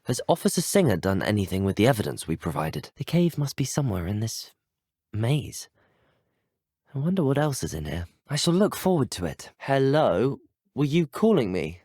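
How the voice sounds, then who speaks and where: An earnest young British student adventurer in a fantasy video game. His voice is fairly low and moves around expressively, recorded close and dry. He speaks in a formal, courteous, well-spoken way. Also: darkish tone, slightly rough, no room sound. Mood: curious, sincere. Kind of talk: scripted video game voice lines.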